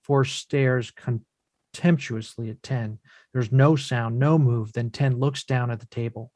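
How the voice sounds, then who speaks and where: Measured male narrator, dry close sound. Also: mid-range voice, fairly even intonation, darkish tone, no room sound. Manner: measured, descriptive. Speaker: reader of stage directions. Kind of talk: scripted narration of a play.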